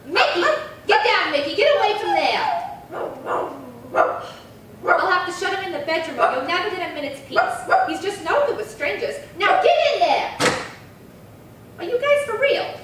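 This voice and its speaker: A woman's voice: very high voice, big pitch swings, moderate pace, pauses often, a bit bright, heavily acted. A woman playing a brash, slangy, struggling model in a 1950s radio crime drama, sassy and full of complaints. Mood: exasperated. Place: live theatre stage reading. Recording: echoey hall, distant mic, faint noise.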